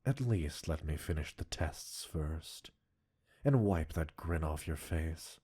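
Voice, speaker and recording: Slow, very low, menacing mad scientist played by a man. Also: expressive, warm tone, husky, exaggerated voice. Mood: possessive, seductive. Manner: slow, deliberate, dominant, teasing. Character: obsessive, menacing mad-scientist captor, coolly possessive and seductive. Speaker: voice actor in a roleplay audio. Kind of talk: intimate scripted roleplay addressed to listener.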